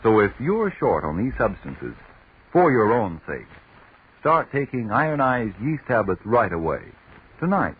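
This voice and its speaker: A man playing a reassuring pitchman in a 1940s radio commercial, on a tinny old recording. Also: sing-song, a little slow, slightly rough, full character voice. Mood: reassuring, persuasive. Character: reassuring male pitchman advising a tired young woman. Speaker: radio advertisement announcer.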